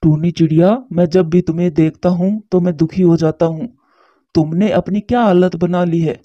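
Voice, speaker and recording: A man voicing a caring, sensible male bird in a cartoon story, earnest and measured, on a muffled track. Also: high-pitched, steady tone, no room sound. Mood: sad, concerned. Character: caring, sensible adult male pigeon, protective friend. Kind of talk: Hindi cartoon story dialogue.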